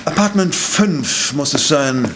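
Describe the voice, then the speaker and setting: A man's voice: on the high side, slow, background noise. A man playing a courteous, formal, authoritative professor detective in a German audio drama. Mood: polite, firm. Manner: formal, precise, calm.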